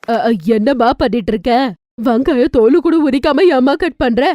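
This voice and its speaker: A woman voicing a sensible older mother-in-law in a cartoon story, admonishing in a measured way. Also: high-pitched, mellow, dry recording, studio quality, natural voice. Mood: reproving.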